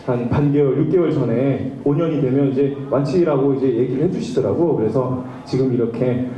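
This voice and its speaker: A man's voice: medium pitch, fairly quick, far from the mic, highs cut off. A male trot singer chatting and bantering with a large live audience between songs, full of fillers. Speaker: trot singer performing on stage. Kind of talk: stage patter with a live audience. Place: large concert hall stage before about two thousand people. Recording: echoey hall with backing music.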